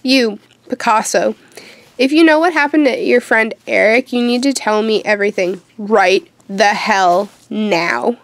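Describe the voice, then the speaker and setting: An actress playing a defiant, sharp-tongued teenage witch in an audio horror drama, intense and dramatic. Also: medium pitch, unhurried, dry recording, close to an everyday voice.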